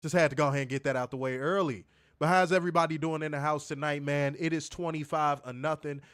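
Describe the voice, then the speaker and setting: A man with a fairly high voice and a congested, stuffed-up nose, co-hosting a wrestling review. He rants in a blunt, profane and animated way. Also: quick pace, clear, resonant tone. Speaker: podcast co-host. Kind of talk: casual ranting wrestling review.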